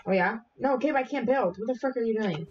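Woman, gaming streamer, excitable, yelps and shouts, mild minced oaths, fairly high voice, noisy home mic with keyboard clicks. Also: fairly quick, lo-fi sound. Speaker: streamer playing with friends. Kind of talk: casual gaming chat.

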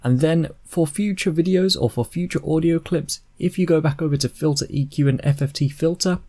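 Young man giving a casual software tutorial. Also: fairly high pitch, brisk. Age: 20s. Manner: informal, step-by-step explaining. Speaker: tutorial host.

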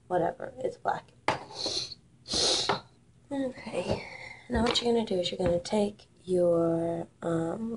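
A woman's voice: fairly low pitch, vocal fry, noisy background. A young woman in her twenties chatting casually through a makeup tutorial, sniffly from a cold, recorded on a webcam in a small room. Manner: chatty, offhand, self-deprecating. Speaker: makeup vlogger. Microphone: built-in webcam mic at arm's length.

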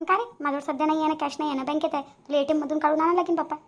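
A woman's voice: sits high, fairly even intonation, racing, lo-fi sound, natural voice. A woman voicing a grumbling, domineering old grandmother in a cartoon family drama, with a Varhadi Marathi accent and a complaining manner. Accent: Varhadi (Vidarbha) Marathi.

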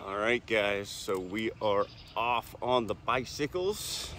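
A man's voice: on the low side, slow, noisy background. A middle-aged man chatting upbeat to a phone camera at arm's length outdoors, hosting a casual RV travel vlog. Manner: upbeat, easygoing narration to camera. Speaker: RV travel vlogger. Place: outdoors on an island, streets, patios and trails.

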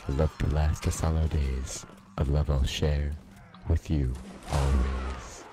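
A man reading a romantic poem aloud in a slow, theatrical, dramatic way. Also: a bass voice, flat delivery. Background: music.

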